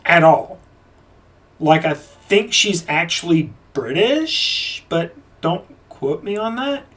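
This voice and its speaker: Guy ranting thru a movie review, kinda high voice, sarcastic, sounds a bit far off the mic. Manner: rambling, sarcastic, many fillers and restarts.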